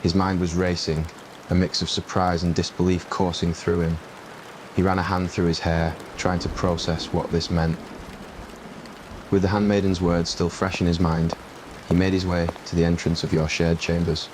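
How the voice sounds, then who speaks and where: Casting: male ASMR storyteller, low register, little variation, scripted narration over rain noise. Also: little pitch movement, moderate pace. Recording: clear rain noise behind voice. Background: rain ambience.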